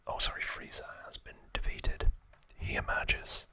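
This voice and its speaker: A man whispers in a very bright, breathy ASMR delivery, with no full voice behind it. He talks as an informal host recapping trivia, musing his way through with hesitant asides. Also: unhurried.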